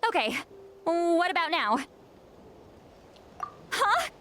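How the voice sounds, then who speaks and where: High, lilting, awkward young-sounding shaman woman. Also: sing-song, drawn-out words, sharp tone, soft background music, full character voice. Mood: flustered, anxious. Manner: stammering, hesitant, sighing. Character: flustered, socially awkward, long-lived female shaman with youthful voice. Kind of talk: video game voice acting.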